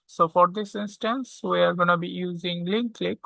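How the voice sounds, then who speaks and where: A tutorial instructor walking through software step by step, often checking in with a short questioning tag. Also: mid-range voice, fairly even intonation, moderate pace, vocal fry, no echo. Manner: checks understanding with frequent "okay?".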